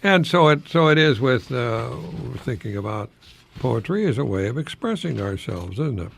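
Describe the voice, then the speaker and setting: A man's voice: mid-range voice, sing-song, moderate pace, gravelly, slight background noise. An older American man chatting like a professor on a radio talk, posing rhetorical questions as he goes. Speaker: radio host, retired college professor. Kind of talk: informal radio talk with verse recitation.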